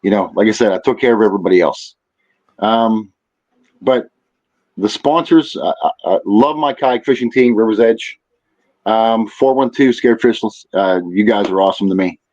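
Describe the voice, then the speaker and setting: A man speaking as a podcast guest in casual chat, haltingly, often repeating phrases as he thinks. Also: fairly low pitch, a little mellow, dull audio. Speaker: podcast guest, fishing tournament organizer.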